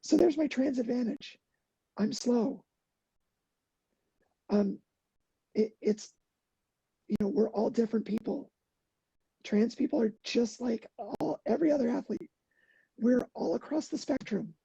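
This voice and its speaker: A middle-aged woman talking earnestly in an interview, halting with many fillers and restarts, a little hoarse. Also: a little high, dark tone, no echo, lo-fi sound. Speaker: podcast guest, endurance athlete. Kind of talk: casual interview talk.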